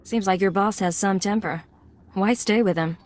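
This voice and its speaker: A woman's voice: mid-range voice, normal speed, darkish tone, close to an everyday voice. A woman playing a cool, self-assured undercover agent in a video game, speaking in terse, confident lines. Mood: probing. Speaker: voice actor in a game. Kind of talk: video game cutscene acting.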